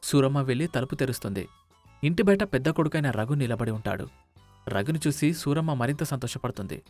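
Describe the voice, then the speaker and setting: Brisk male moral-story narrator. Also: medium pitch, animated, a little mellow, soft background music.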